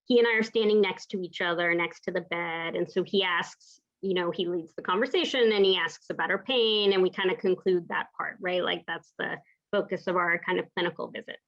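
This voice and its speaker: A woman's voice: on the low side, fairly quick, a bit bright, muffled recording. A woman from the United States who works as a palliative care clinician, telling a story from practice in a thoughtful, conversational way and often checking in with "right?". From United States (Pacific Northwest). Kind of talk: reflective storytelling in a lecture.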